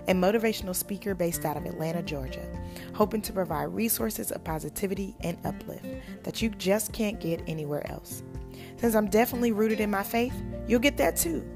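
An energetic woman opens her podcast with a motivational welcome. Music plays clearly underneath her voice as she talks. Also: medium pitch. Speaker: podcast host. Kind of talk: upbeat podcast intro.

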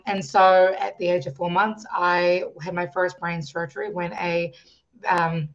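New Zealand woman, dull lo-fi sound. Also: on the low side. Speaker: podcast guest, musician and youth coach. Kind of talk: conversational personal storytelling in an interview.

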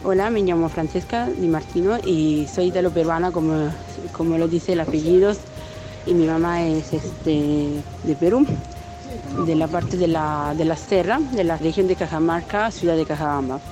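A woman in her twenties from Italy introducing herself in a measured, plain way with some hesitations. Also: deep voice, poor audio. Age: 20s. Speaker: guest. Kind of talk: self-introduction. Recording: music clearly underneath. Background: music.

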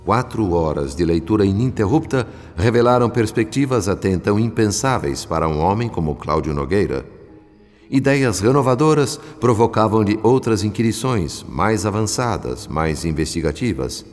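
A man with a Brazilian accent playing a solemn, compassionate narrator, telling a radio drama in measured literary phrases. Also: lowish voice, expressive, close to an everyday voice. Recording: long reverb with music behind. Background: music.

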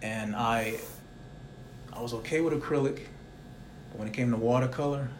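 Soft-spoken young man reminiscing. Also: medium pitch, moderate pace, a little hiss or hum, low-quality recording. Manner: rambling, reflective, soft-spoken. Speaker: interviewer. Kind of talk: casual conversational interview.

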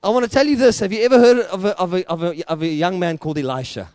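A middle-aged man with a South African accent, an Afrikaans speaker, preaching a sermon with repetitive, building rhetoric that surges to loud emphatic peaks. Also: high-pitched, quick pace, dry recording, studio quality. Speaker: pastor.

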